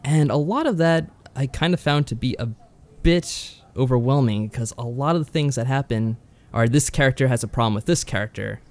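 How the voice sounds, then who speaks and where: A man co-hosting a podcast, explaining and reviewing games in a measured, conversational way. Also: medium pitch, a little mellow. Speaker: podcast co-host. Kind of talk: conversational visual novel review.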